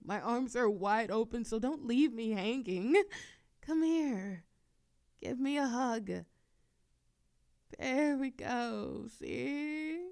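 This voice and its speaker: Lovestruck female yandere kidnapper voiced by a woman, ASMR roleplay: slow delivery, giggly, doting, coaxing, medium pitch. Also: a little mellow. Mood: coaxing, tender. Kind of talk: ASMR roleplay audio acting.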